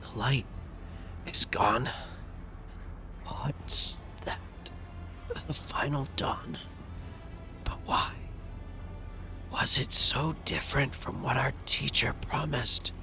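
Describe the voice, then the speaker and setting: A man voicing a masked middle-aged cultist woman who speaks in a strained, breathy whisper. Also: medium pitch, drawn-out words, pauses often, sharp tone, soft background music, pitched above the performer's own voice, exaggerated voice. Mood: haunted.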